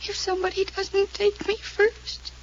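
An actress playing a fragile, needy young woman in an old radio mystery drama, plaintive and often dropping to a breathy whisper. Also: very high voice, little pitch movement, unhurried. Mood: desperate, afraid. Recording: muffled, noisy old radio transcription.

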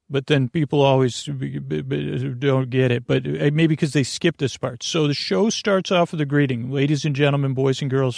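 A man with a low-pitched, creaky voice hosts a bedtime podcast, recorded close and dry. He wanders through his monologue haltingly, stumbling over words and doubling back on his own sentences. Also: a little mellow, dry recording. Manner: meandering, halting, self-interrupting with stammered restarts. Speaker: podcast host.

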